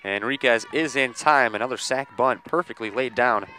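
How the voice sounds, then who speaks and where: A man calling a softball game live on the radio, measured between plays and ready to burst into excited calls. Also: mid-range voice. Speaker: play-by-play sports commentator.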